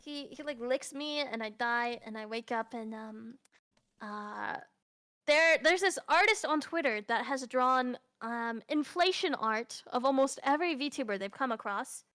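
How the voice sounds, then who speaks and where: A woman's voice: fairly high pitch, expressive, unhurried, a bit bright, clean tone. A woman guest in a casual chat, laughing often and rambling in slangy speech. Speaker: guest streamer.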